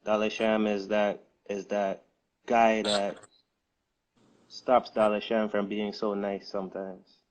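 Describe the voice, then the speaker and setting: A man answering interview questions in a relaxed, hesitant way, with many fillers and restarts. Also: fairly low pitch, slow, muffled recording. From United States. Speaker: guest, music distribution co-founder.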